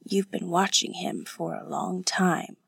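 A woman plays a prim, protective young woman in a novel, older than her years in manner. The voice is low and subdued, slow and reproving. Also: gravelly, breathy edge, no echo, exaggerated voice. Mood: sharp reproof. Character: stern, proper, weary woman of twenty-four, protective and scornful.

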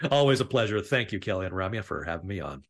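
A man's voice: fairly low pitch, very animated, quick pace, a bit bright. A man chatting as a panel guest, wry and wordy, feeling his way through phrases. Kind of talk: casual panel chat.

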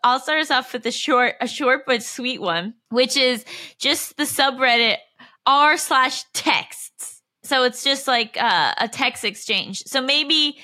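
High, bright-voiced woman co-host. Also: takes it slowly. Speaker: podcast co-host. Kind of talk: casual comedy podcast chat.

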